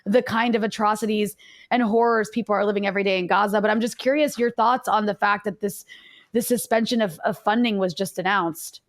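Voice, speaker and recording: A woman hosting an interview, halting, with lots of restarts and "like" fillers. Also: medium pitch, steady tone. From United States. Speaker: interview host. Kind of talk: conversational interview questions.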